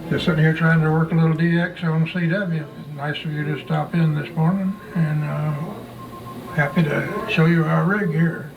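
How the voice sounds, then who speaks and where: A man giving an informal, halting welcome to visitors as a radio hobbyist, recorded at a distance with music behind him. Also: fairly high pitch, fairly even intonation, vocal fry, lo-fi sound. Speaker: amateur radio operator. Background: background music.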